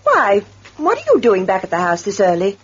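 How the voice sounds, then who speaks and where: A woman playing a sharp-tongued, defiant farmer's wife with an English accent in a radio drama. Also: mid-range voice, huge pitch swings, normal speed, slight background noise. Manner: sharp-tongued, back-chatting. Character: defiant, flighty farmer's wife and former barmaid. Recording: muffled old radio recording.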